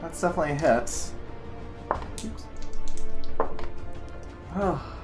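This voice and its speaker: A woman's voice: deep voice, slow pace, music in the background. A woman narrating a tabletop game as game master, loose and giggly with lots of fillers.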